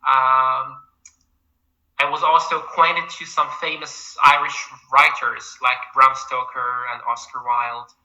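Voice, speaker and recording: A man's voice: mid-range voice, level tone, takes it slowly, bright, forceful tone, lo-fi sound. A man in his twenties with an Algerian accent, a PhD student answering interview questions politely and eagerly. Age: 20s. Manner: polite, eager, often says "absolutely".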